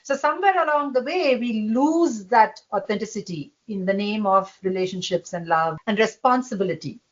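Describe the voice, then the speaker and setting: A woman with a naturally loud voice speaks as a guest on a podcast. She talks in an emphatic way, often breaking into laughter, in a voice of middle pitch. The sound is a little dull and lo-fi. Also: moderate pace. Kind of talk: casual conversation.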